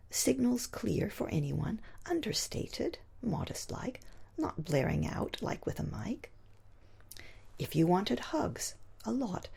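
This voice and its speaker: A woman poet reciting her own rhyming verse in a husky, breathy voice, with expressive phrasing that dips into whispery tones. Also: low voice.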